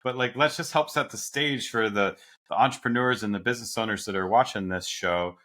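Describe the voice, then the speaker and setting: A man's voice: fairly low pitch, a little fast, sharp tone. A man hosting a podcast interview, enthusiastic and upbeat, asking questions in a casual way. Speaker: podcast host. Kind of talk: scripted intro then casual interview.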